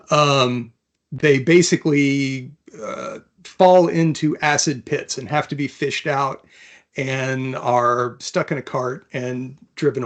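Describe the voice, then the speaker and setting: A man with a medium-pitched voice speaks as a guest author. He has a thoughtful, unassuming delivery, suited to explaining or reading his own fantasy fiction. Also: fairly bright tone.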